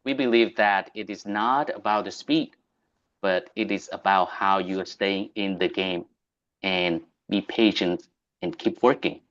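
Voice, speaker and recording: A man with a Vietnamese accent hosting and introducing a speaker in deliberate, halting, non-native English. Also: low voice, fairly bright tone, lo-fi sound. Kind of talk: event introduction on a video meeting.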